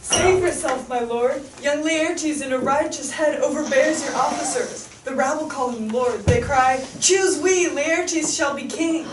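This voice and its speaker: A woman's voice: sits high, a little slow, hardly any pauses. A woman playing an alarmed messenger. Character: urgent messenger bringing alarm. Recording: echoey hall from far off, noise.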